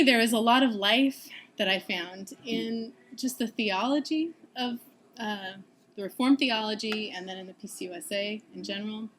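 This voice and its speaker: A woman in her thirties, a seminary student, answering interview questions thoughtfully, laughing often and pausing with ums. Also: mid-range voice, fairly bright tone, a little hiss or hum. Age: 30s.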